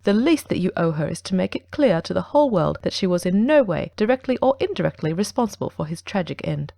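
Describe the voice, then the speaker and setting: A woman playing a stern, commanding gentleman detective in a dramatic audiobook reading, formal and accusing. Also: fairly low pitch, big pitch swings, fast talker, gravelly, no echo, studio quality. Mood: grave, insistent.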